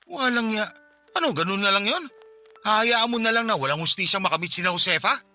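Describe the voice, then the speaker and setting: A Filipino man voicing a loyal friend and cousin in a radio drama, caring at first and quick to anger at injustice. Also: high-pitched, lively intonation, pushed and bright, soft background music, heavily acted. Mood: outraged. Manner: flares into angry outbursts. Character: loyal male friend and cousin, bank security guard, hot-tempered about injustice. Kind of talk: Tagalog radio drama acting.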